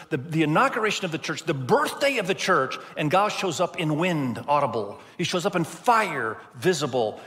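A man's voice: on the high side, fairly bright tone. A man who grew up in Indonesia, preaching an earnest, emphatic sermon to a church gathering. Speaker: pastor. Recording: long hall reverb.

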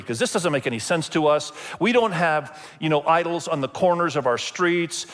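A man who grew up in Indonesia, preaching a sermon as pastor in a conversational storytelling way, with emphatic pauses. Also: fairly high pitch, fairly bright tone. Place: church sanctuary. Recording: long hall reverb.

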